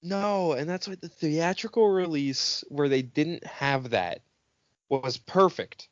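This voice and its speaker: A man's voice: on the high side, slow, no echo, highs cut off. A man co-hosting a podcast in casual film banter, sarcastic and profane, mockingly acting out lines. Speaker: podcast co-host.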